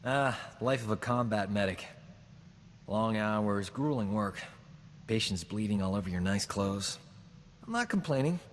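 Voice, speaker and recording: An actor playing a boastful, smooth-talking combat medic in a video game, joking and flattering himself. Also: male voice, lowish voice, a little slow, pauses often, long reverb tail, a little hiss or hum. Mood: cocky. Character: cocky boastful man, a frontier combat medic. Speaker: companion character in a video game. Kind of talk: video game character dialogue.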